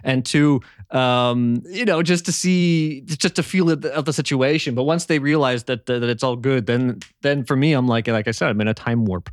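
A man's voice: medium pitch, normal speed, few pauses, dry recording, studio quality. A man hosting a casual podcast chat. He rambles and repeats words while he searches for what to say. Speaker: podcast host. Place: home in eastern Germany.

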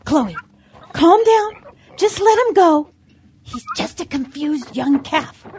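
Audio drama farm animal, played by a woman: sensible peacemaker, pleading and pious, extremely high, animated voice. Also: slow. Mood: earnest, reasoning. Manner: earnest, pleading, moralizing.

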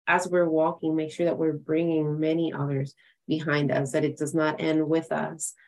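Female podcast host from Ecuador, low-pitched, affirming and conversational. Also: level tone, moderate pace, gravelly, darkish tone. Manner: encouraging, affirming. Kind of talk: conversational interviewing.

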